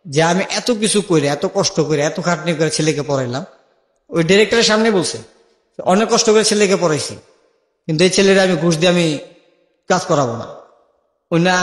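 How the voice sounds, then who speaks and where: A young Bangladeshi man telling his life story as an interview guest, colloquially and with remembered dialogue acted out. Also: on the high side. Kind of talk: personal storytelling in a radio-style interview. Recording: echoey with long reverb.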